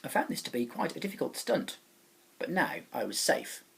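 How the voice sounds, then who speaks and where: Animated man reading a children's storybook, creaky edge. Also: a little high. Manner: animated, dramatic pauses and emphasis. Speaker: teacher reading aloud.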